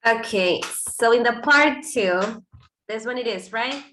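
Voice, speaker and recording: A woman's voice: a little high, measured pace, a bit bright, slight background noise. A woman who is a native Spanish speaker, teaching English online and calling on students in an informal, self-correcting way. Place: online video class.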